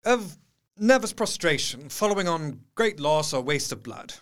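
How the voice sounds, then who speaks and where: A man's voice: on the high side, big pitch swings, a little slow, a bit bright. An actor playing an educated, skeptical doctor in an audio drama, articulate and precise as he tells his diary. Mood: puzzled, matter-of-fact.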